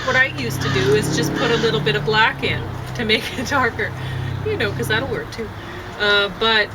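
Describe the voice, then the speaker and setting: A middle-aged woman chatting casually on an outdoor deck, rambling with many fillers and restarts. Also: fairly high pitch. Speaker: vlogger. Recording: noisy outdoor recording. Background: birds and passing traffic.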